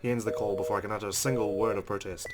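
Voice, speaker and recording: A man's voice: on the low side, fairly even intonation, racing. A man playing a visual novel, reading its narration aloud and dropping in casual asides as a let's player. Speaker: let's play gamer.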